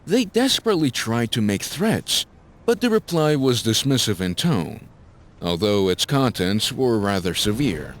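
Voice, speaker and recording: A man's voice: on the low side, very animated, a little slow, slight background noise. A man narrating a scripted fantasy story as a dramatic audiobook-style narrator.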